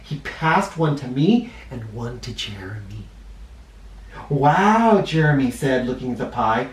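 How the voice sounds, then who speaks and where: A man reads a picture book aloud to children with a very animated, swooping delivery. He leans on key words for dramatic effect and addresses young listeners directly. The sound is roomy and echoing, a little away from the microphone. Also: medium pitch, moderate pace, recorded from a distance. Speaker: children's storybook reader. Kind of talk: expressive read-aloud storytelling for children.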